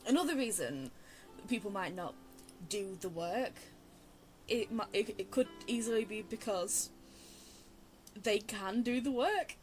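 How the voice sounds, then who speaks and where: A woman's voice: medium pitch, animated, measured pace, faint music behind, low-quality recording. A chatty young woman giving casual, digressive, self-deprecating game commentary. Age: young adult. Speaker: game streamer.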